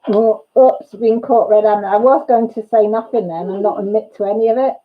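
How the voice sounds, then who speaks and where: A woman's voice: medium pitch, fairly even intonation, normal speed. A middle-aged Welsh woman chatting at home, reading comments aloud and laughing between them. Her voice sits mid-range, and the recording is a little dull and lo-fi. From Wales. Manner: chatty, giggly, reads comments aloud, playful repetitions. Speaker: channel host. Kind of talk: casual chat reading viewer comments.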